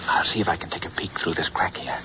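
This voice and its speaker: A calm commanding hero, very low voice, muffled 1930s radio recording. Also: male voice, fast talker. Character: calm, determined male hero detective. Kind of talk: 1930s radio drama acting. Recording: muffled old radio broadcast with loud hiss.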